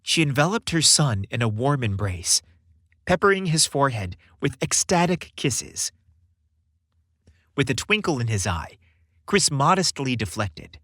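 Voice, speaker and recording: A man's voice: mid-range voice, big pitch swings, a little slow, fairly bright tone, dry recording, clean studio sound. A man narrating a scripted holiday story in a dramatic storybook style.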